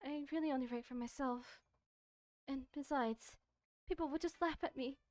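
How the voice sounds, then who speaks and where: Visual novel schoolgirl, read by a female streamer: high, shy, bookish, hesitant delivery. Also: normal speed, darkish tone, natural voice. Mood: self-conscious.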